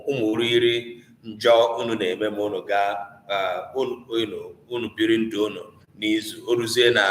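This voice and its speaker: A man's voice: fairly low pitch, a bit bright, a little hiss or hum. Echoey Nigerian Igbo man teaching. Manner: earnest, exhorting, with rhetorical questions. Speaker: Igbo language teacher hosting a live online class. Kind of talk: informal live teaching, switching between English and Igbo. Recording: echoey room with long reverb.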